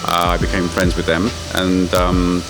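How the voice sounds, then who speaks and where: Deep-voiced English man telling stories. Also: completely flat delivery, measured pace, over music, poor audio. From England. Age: middle-aged. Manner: chatty storyteller, self-deprecating humor. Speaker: interviewee, English teacher. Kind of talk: casual interview answers.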